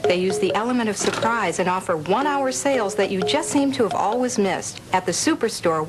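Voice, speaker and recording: A woman reading scripted retail advertising narration as an announcer. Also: mid-range voice. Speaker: commercial announcer.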